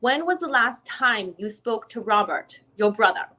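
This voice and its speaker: Woman reading as female lawyer doing a deposition, formal n methodical, bright highish voice. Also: animated, measured pace, exaggerated voice. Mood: formal, probing. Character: female lawyer questioning a witness at a deposition, persistent. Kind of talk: dramatic reading of a legal transcript.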